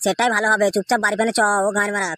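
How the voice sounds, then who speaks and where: A woman's voice: mid-range voice, level tone, rapid-fire, loud music behind the voice, pitched below the performer's own voice, lightly acted. A performer with a woman's voice playing a sly, freeloading young village drinker in a comic cartoon.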